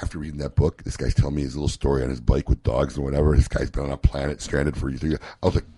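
A man hosting a casual radio talk show from a studio, joking and ranting in an animated way. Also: a bass voice, racing, breathy edge, no echo. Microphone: studio microphone.

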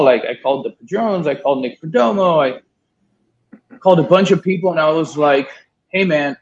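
A man's voice: fairly high pitch, a little hiss or hum. A man in his thirties from Miami telling a long story in a casual interview, swearing now and then and quoting others. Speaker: guest, cigar importer. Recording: dull lo-fi sound.

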